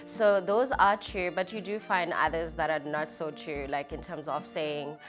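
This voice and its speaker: A woman's voice: low voice, steady tone, measured pace. A South African woman with a South African accent, speaking candidly as an interviewee. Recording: music behind the voice.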